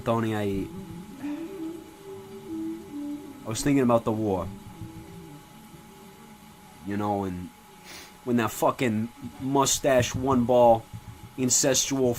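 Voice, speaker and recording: A man playing a crude, foul-mouthed old Italian-American mobster who belittles people and rambles with sudden loud outbursts. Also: medium pitch, pitched below the performer's own voice, lightly acted, poor audio. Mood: brooding. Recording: music playing clearly behind.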